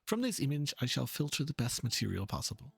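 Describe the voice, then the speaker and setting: A man playing an earnest, pompous painter in a dramatic reading of game dialogue, speaking in a florid, halting, theatrical way. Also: mid-range voice, big pitch swings, creaky, breathy edge, dry recording, pitched above the performer's own voice, full character voice. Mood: delighted. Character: theatrical, earnest male painter, pompous and fervent about art.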